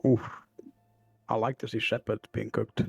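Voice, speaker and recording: A man's voice: lowish voice, flat delivery, normal speed, creaky, husky, soft background music. A young man with a Norwegian accent co-casting an esports match, hesitant, with dry humor. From Norway. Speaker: esports co-caster. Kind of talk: casual esports commentary.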